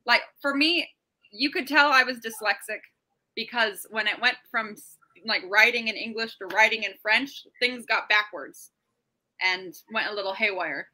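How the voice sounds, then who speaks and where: A woman's voice: on the high side, moderate pace, sharp tone, rough recording. A woman in her thirties on a video call, telling stories animatedly and swearing casually. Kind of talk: casual chat. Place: home room on a video call.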